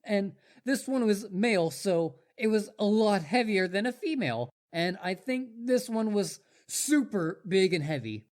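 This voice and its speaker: Man playing an animated, eager, boastful wolf boy. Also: high-pitched, measured pace, few pauses. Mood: flustered, pleading. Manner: boastful, rambling, stammering when flustered. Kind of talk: roleplay audio drama addressed to listener.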